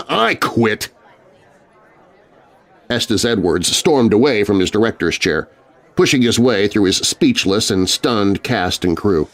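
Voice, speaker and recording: A man narrating a scary story for children in a theatrical, suspenseful, scripted style. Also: on the low side. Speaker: narrator and host.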